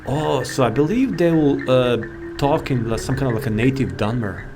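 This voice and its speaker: Male game host, mid-pitched, casual and rambling, thinking aloud over a slightly noisy mic. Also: expressive, moderate pace. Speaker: video host, gamer. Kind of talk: casual gameplay commentary.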